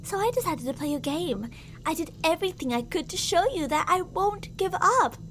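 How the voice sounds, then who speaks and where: A woman's voice: very high voice, animated, normal speed, fairly bright tone, a little hiss or hum. A woman playing an obsessive, delusional stalker in an ASMR roleplay, theatrical and sweetly menacing, with jealous, volatile endearments and reproaches. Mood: wounded, obsessive.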